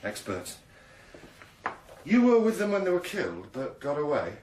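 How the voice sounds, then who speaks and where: An actor playing a world-weary police detective in a radio drama, with a wry, clipped, brooding delivery. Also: male voice, on the high side, huge pitch swings, normal speed, a little mellow, distant mic. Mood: brooding, bitter. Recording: echoey, off-mic, music underneath.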